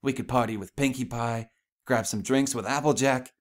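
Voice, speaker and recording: Guy doing a brash tomboy pegasus girl for a story, guarded, slangy, short clipped lines, bit higher pitched. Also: steady tone, normal speed, lightly acted. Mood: forced swagger.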